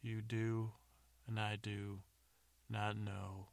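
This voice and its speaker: A man voicing a hapless adventurer in a told story, earnestly reciting terrible love poetry in a halting, overwrought way. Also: fairly low pitch, level tone, creaky, heavily acted. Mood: earnest, yearning.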